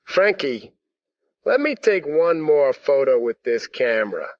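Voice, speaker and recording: A man's voice: fairly high pitch, sing-song, measured pace, no room sound. An actor playing a bullying, menacing male thug with a sneering, taunting manner in an old-time radio drama. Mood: gloating. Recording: dull, dry lo-fi sound.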